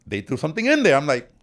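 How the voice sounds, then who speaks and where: A man's voice: fairly high pitch, fairly bright tone. A man hosting a casual interview, asking nosy questions in a joking, teasing way. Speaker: podcast host, interviewer. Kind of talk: casual chat.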